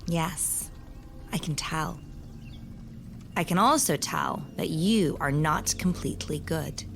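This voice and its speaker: A woman playing a wise, hospitable and grave keeper of magical lore, telling things deliberately with old-fashioned phrasing in an audio drama. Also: low voice, vocal fry, a little hiss or hum, heavily acted.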